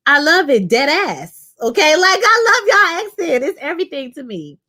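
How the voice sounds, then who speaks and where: A woman's voice: high voice, normal speed. A woman in her thirties from Louisiana with a New Orleans accent, chatting casually with her live-chat viewers while reviewing a TV episode. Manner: chatty, animated, talks to chat as "y'all". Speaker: show reviewer live-streaming.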